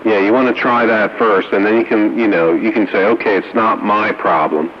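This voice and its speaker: A dull, hissy radio signal carries a low male voice. The man chats in an informal way, mixing banter with practical advice to other operators. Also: fairly even intonation, moderate pace. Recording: dull two-way radio with hiss.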